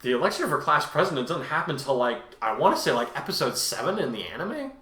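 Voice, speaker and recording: A man's voice: fairly high pitch, very animated, fast talker, pushed and bright. A man in casual, chatty conversation, answering reviews as a co-host in an informal way. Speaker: fan fiction author and co-host. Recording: echoey room.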